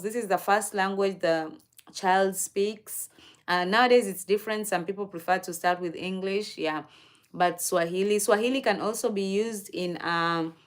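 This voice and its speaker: A Kenyan woman hosting a livestream, chatting casually and answering viewer comments in an unhurried, chatty way. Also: mid-range voice. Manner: unhurried, chatty, with fillers and soft laughs. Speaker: livestream host.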